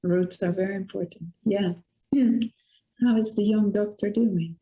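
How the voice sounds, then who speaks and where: A woman leading a spiritual satsang over a video call, speaking gently and encouragingly. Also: medium pitch, fairly even intonation, measured pace, low-quality recording. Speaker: satsang teacher. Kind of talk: spiritual talk, hosting a group call.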